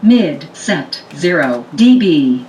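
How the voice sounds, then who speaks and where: A woman's voice: low voice, sing-song, slow pace. A synthesized woman's voice played through a speaker, making short, clipped, impersonal menu announcements. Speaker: automated voice prompt of a car radio. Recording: roomy, distant speaker playback under loud music.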